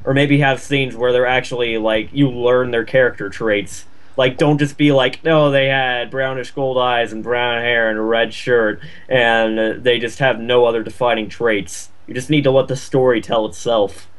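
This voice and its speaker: A man's voice: medium pitch, steady tone, normal speed. A man chatting casually as a co-host, giving mocking critique in an exasperated, sardonic, colloquial way. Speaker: co-host commentator.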